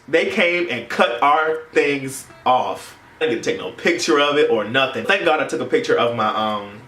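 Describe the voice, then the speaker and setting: A young American man telling a story in casual vlog chat, animated and acting out the dialogue as he goes. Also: medium pitch, a little hiss or hum. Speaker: vlogger. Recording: roomy echo, distant mic, faint music.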